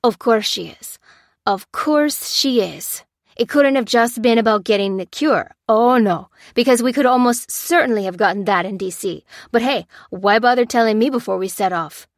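A woman's voice: a little high, moderate pace, few pauses, no echo, clean studio sound, pitched above the performer's own voice, lightly acted. A woman voicing a bitter, hardened male soldier who growls and rants accusations. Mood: bitter contempt. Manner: growling, ranting, hurling blame. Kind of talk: audiobook character reading.

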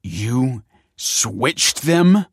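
A man's voice: lowish voice, moderate pace, breathy edge, dry recording, studio quality. A man voicing a shocked, angry young husband who confronts his wife with incredulous accusations in a story reading. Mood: angry, choked.

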